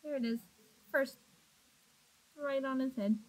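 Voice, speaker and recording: A woman in her thirties reading a children's picture book aloud in an expressive, deliberate manner, recorded indoors at arm's length from a computer mic. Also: sits high. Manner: expressive, deliberate, asks the young listeners questions. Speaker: storybook reader. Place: small indoor room with block walls. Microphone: webcam or laptop at arm's length.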